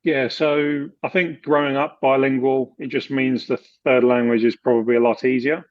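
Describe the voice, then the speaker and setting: A man from the UK chatting in an interview, relaxed, with many fillers and restarts. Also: mid-range voice, moderate pace, dry recording, muffled recording. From United Kingdom. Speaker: guest, expat finance expert. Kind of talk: casual interview conversation.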